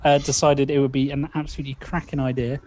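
A man's voice: mid-range voice, flat delivery, fast talker, background noise. A man playing in a tabletop role-playing show, talking casually and jokily with dry, sarcastic humour. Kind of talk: casual, jokey story recap.

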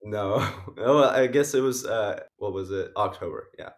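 A young man with a very low voice talks through his music in a loose, rambling way. He fills his answers with fillers and laughs. He sounds a bit sniffly. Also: measured pace. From Canada. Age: young adult. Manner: rambling, many likes and uhs, laughs often. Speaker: musician guest. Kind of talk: casual interview answers.

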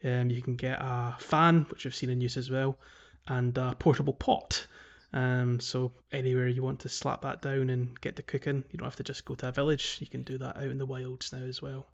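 A man's voice: mid-range voice, little pitch movement, normal speed, gravelly, dull audio. Chatty man podcasting, thinking aloud. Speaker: podcast co-host. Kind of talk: casual video game chat.

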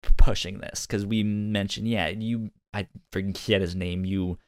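A man hosting a podcast, chatting casually and haltingly, often repeating words as he works out his thought. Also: lowish voice, steady tone, no room sound, clean studio sound. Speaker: podcast host. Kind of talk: casual review discussion.

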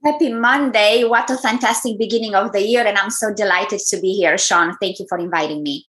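A woman from Romania with a Romanian accent, answering as a podcast guest in an effusive, measured way. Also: mid-range voice, a bit bright. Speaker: guest, brand strategist. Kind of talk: interview answers.

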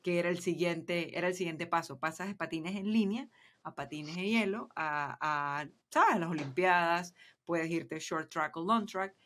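A woman's voice: lowish voice, normal speed, fairly bright tone. A young adult woman with a Venezuelan accent, a business guest chatting casually in a podcast interview and dropping English words into her Spanish. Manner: chatty, mixes English words, checks in with "¿sí me explico?". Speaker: guest entrepreneur.

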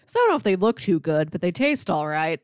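A woman's voice: lowish voice, big pitch swings, fast talker, dry recording, clean studio sound. A woman co-hosting a podcast, chatting casually and conversationally about films. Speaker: podcast co-host.

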